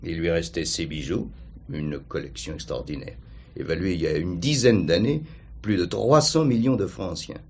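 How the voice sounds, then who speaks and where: Husky, formal notary. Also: male voice, low-pitched. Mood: precise, discreet. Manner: measured, formal storytelling. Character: formal, precise male notary recounting a client's affairs. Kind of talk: French crime drama acting.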